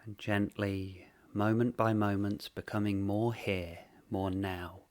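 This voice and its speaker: A man leading a guided meditation in a gentle, unhurried way, speaking in short phrases with long pauses. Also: fairly low pitch, level tone.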